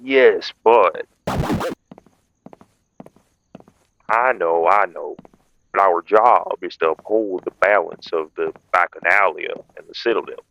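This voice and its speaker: A man playing a calculating, dutiful vampire hunter in an urban fantasy audio roleplay, measured and authoritative, as if briefing a team. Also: low voice, creaky, fairly bright tone, muffled recording, full character voice. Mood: firm, cautioning.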